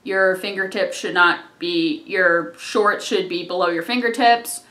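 A young adult telling a rambling personal story from a couch in a small living room, recorded by a camera a few feet away, trailing off into quiet asides. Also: medium pitch, measured pace. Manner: chatty, rambling, drops to mumbles and asides. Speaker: vlogger. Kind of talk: casual storytime vlog. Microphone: camera on a tripod a few feet away. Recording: echoey room.

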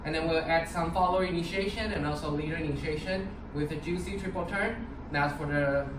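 A man's voice: fairly high pitch, brisk. Chatty young man from Taiwan, echoey studio. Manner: chatty, upbeat, with fillers and restarts. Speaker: dance teacher. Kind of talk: casual dance class instruction. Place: large empty dance studio. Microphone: far camera. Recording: echoey studio from far off, noisy.

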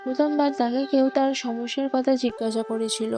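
A woman's voice: high voice, steady tone, a little fast, warm tone, faint music behind. A woman reading scripted storytelling narration for a cartoon moral tale.

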